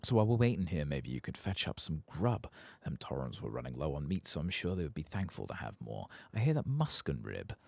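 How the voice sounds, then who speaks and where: A man voicing a folksy, chatty frontier quest-giver from a fantasy game, soft-spoken and easygoing. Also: rumbling low, wildly swooping pitch, a little fast, vocal fry, no room sound, full character voice. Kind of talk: reading game quest dialogue in character.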